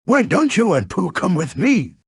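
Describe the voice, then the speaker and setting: An exuberant cartoon tiger for a children's storybook. He is friendly and boastful, bouncing through his lines with very lively intonation. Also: high-pitched, normal speed, creaky, crowd noise, pitched above the performer's own voice, heavily acted. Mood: cheerful, eager.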